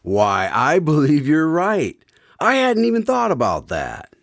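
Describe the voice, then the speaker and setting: A man's voice: a little high, wildly swooping pitch, measured pace, gravelly, dry recording, studio quality, heavily acted. A man playing a genial, curious radio announcer who hosts an old-time style drama in a courteous, theatrical way. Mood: friendly, curious. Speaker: announcer and host.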